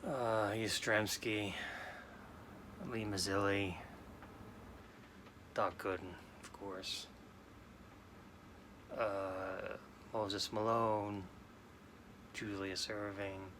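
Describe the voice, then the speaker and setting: Sad late-40s guy from new york, halting, lots of restarts, bright tone, pretty flat. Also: on the low side, a little hiss or hum. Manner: halting, subdued, many restarts. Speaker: sports card vlogger. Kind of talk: casual personal talk to camera.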